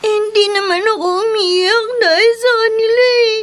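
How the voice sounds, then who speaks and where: A woman's voice: extremely high pitch, steady tone, moderate pace, a bit bright. A woman with a Filipino accent playing a tearful young schoolgirl who misses her father in a radio drama. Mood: tearful, pleading.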